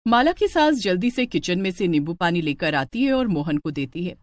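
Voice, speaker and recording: A woman's voice: fairly low pitch, sing-song, moderate pace, dry recording. A woman narrating a scripted family story in a dramatic storytelling style.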